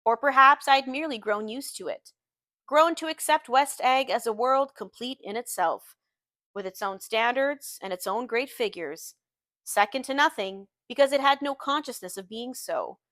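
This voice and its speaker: High-voiced woman reading a novel aloud. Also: fairly bright tone, no room sound. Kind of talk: literary audiobook narration with acted dialogue.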